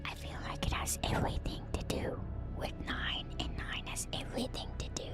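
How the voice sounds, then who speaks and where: A woman doing ASMR in a breathy whisper, reading lore aloud with hushed, enthusiastic casual commentary. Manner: hushed, slow, enthusiastic asides. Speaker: streamer and lore reader. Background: music.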